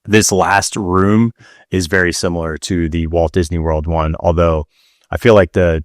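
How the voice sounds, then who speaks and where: A man with a low voice talks casually as a podcast co-host. The sound is dry, with very little room in it, and the delivery is conversational. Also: fairly even intonation, a little slow, dry recording. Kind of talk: casual chatty discussion of listener questions.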